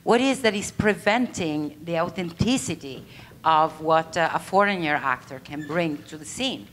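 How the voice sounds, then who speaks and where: A woman's voice: low-pitched, lively intonation, normal speed, fairly bright tone, a little hiss or hum. A middle-aged woman from Italy with an Italian accent, asking a panel a question from the audience and searching for her words.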